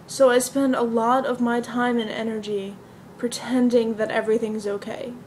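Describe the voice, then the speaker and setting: Girl acting depressed young woman doing a stage monologue, highish voice, earnest n bleak, bit of hiss on an ipod recording. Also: little pitch movement, moderate pace, a little mellow, lightly acted, poor audio. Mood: resigned, anguished. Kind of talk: amateur dramatic stage monologue.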